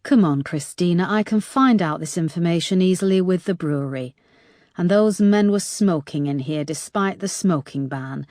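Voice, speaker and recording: A woman's voice: lowish voice, moderate pace, a little mellow, no echo, studio quality, lightly acted. A woman voicing a firm woman detective. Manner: firm, persistent questioning.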